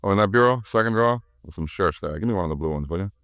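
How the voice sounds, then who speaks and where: An actor playing an evasive, cocky American small-time crook, slangy and defensive, in a 1950s radio crime drama. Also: male voice, deep voice, lively intonation, racing, a bit bright, dry recording. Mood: cocky, offhand.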